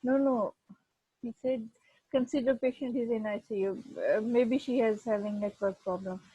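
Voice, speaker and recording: Husky woman giving brisk exam instructions. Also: fairly high pitch, fairly even intonation, dark tone, no room sound, highs cut off. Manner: brisk, directive. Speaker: examiner in a mock exam. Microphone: video call.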